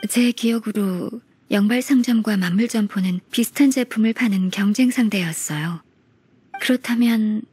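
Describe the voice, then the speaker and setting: An actress playing a composed, shrewd senior secretary in a video game, speaking politely and in a measured, matter-of-fact way. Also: female voice, mid-range voice, a little mellow, breathy edge. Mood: assured. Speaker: game character voice actor. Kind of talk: video game story voice acting.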